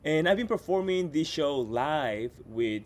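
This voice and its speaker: A man's voice: on the high side, expressive, unhurried, slight background noise. A Peruvian man with a Spanish accent, hosting a playful comedic monologue to camera. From Peru. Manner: chatty, playful, teasing asides. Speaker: comedian and show host. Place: home in Washington.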